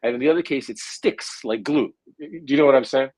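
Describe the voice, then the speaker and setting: A man's voice: medium pitch, a little mellow, no echo. A man in his forties with a New Jersey accent, thinking aloud as a guest scientist in an interview, with lots of "uh" fillers. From India. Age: 40s. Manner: thoughtful, hesitant, full of drawn-out "uh" fillers. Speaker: guest neuroscientist. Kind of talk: conversational interview answer.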